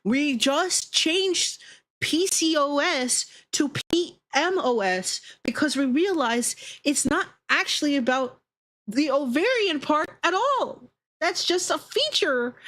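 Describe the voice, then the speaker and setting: A woman livestreamer talking casually to her viewers in an animated, opinionated, sweary way. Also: sits high. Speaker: livestreamer hosting a Q&A.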